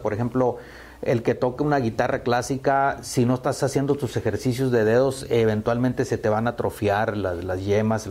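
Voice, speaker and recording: A man's voice: medium pitch, darkish tone. A Mexican man in his fifties, a musician answering interview questions in a hesitant way, full of fillers and repeated words. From Sonora, Mexico. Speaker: musician guest.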